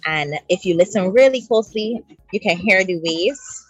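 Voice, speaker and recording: A woman's voice: mid-range voice, lively intonation, normal speed, slightly rough, no echo, faint music behind. A woman in her thirties from Barbados, a podcast guest telling earnest stories about her childhood, recorded outdoors by the ocean. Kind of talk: relaxed interview chat. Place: outdoors by the sea.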